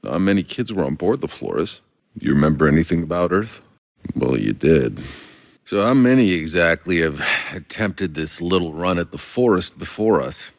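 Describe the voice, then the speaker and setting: An actor playing a world-weary, sardonic soldier in a video game, gravelly, dry and blunt. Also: male voice, deep voice, expressive, moderate pace, dry recording. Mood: questioning, wary. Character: gruff, world-weary male Outrider soldier, sardonic and foul-mouthed.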